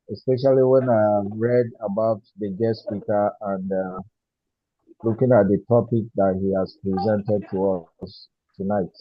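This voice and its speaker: A man's voice: fairly low pitch, no room sound. A man speaking at an online meeting, hesitant and full of fillers, thanking a guest speaker. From Nigeria. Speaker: meeting participant. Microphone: video call. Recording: dull lo-fi call audio.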